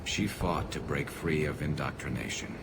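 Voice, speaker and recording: A man playing a grave squadmate in a video game, with a gravelly voice. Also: a bass voice, moderate pace. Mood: solemn. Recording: loud mechanical ambient noise behind.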